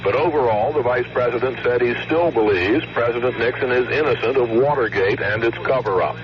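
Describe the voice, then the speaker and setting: A man's voice: mid-range voice, fairly quick, dull audio. An American man giving a scripted field report as a radio news correspondent.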